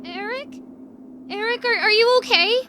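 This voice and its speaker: A woman playing a frightened, desperate young woman who pleads haltingly in a horror audio drama. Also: extremely high pitch, background noise. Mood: scared, pleading.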